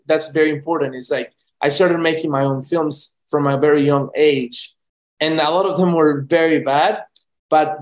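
A young man from Colombia, a film director, answering interview questions casually with many restarts. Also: on the high side. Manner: rambling with many restarts and fillers.

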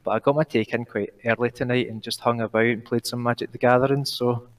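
A nervous man with a Glaswegian accent, calling in to give an esports prediction, talking fast while trying to slow down and pronounce clearly. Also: medium pitch, steady tone, distant mic. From Glasgow, Scotland. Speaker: caller.

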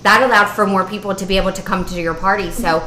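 A woman's voice: mid-range voice, steady tone, fast, pushed and bright, a little hiss or hum, poor audio. A woman co-hosting a casual podcast, joking and teasing her partner as they chat. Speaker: podcast co-host.

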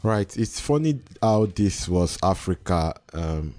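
A man from Nigeria with a very low voice hosts a casual interview. He asks long, open questions with steady curiosity. The sound is dry and close, with no room around it. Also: slow pace, dry recording. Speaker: host. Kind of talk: conversational interview.

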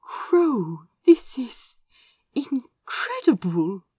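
A woman voicing a refined, gentle male prince in an audiobook story, with an effusive, genteel and exclamatory manner. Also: fairly high pitch, very animated, very slow, breathy edge, no echo, pitched above the performer's own voice, heavily acted. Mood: amazed admiration. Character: Azra, refined male prince, gentle, delighted, easily awed. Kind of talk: storybook character reading.

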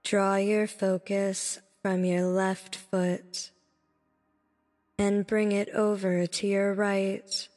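A woman narrating a guided meditation in a soothing, deliberate manner, speaking in short instructive phrases. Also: medium pitch, little pitch movement, darkish tone. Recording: long reverb with background music. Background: music.

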